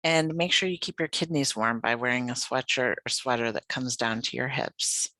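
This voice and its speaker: A yoga nidra guide leading a guided meditation in a gentle, soothing, unhurried manner. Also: medium pitch, dry recording, poor audio.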